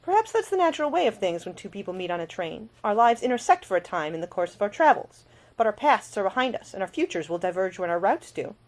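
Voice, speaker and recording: A woman plays a young lady of twenty-three in an audiobook. She is prim, brisk and curious, speaking in precise, formal sentences. Her pitch moves with a lot of animation. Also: very animated. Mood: earnest. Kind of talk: audiobook character dialogue.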